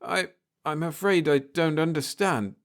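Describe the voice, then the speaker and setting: A man's voice: fairly high pitch, measured pace, fairly bright tone, pitched above the performer's own voice. A man voicing a bewildered, stubborn male doctor in a sci-fi story, urgent and questioning. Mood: bewildered, insistent.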